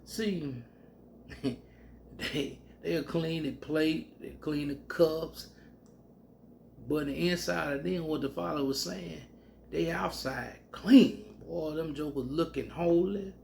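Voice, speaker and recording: A man teaching scripture in a loose, colloquial country way, breaking into loud whoops. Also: a little high, takes it slowly, gravelly, slight background noise. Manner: animated, bursts into loud whoops; colloquial Southern speech. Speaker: preacher.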